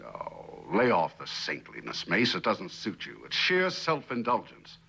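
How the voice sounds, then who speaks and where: A middle-aged man playing a stern, cynical company boss in a 1960s television drama, lecturing in a measured, condescending way. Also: low voice, huge pitch swings, creaky, bright, forceful tone, breathy edge, heavily acted. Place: indoor living room set.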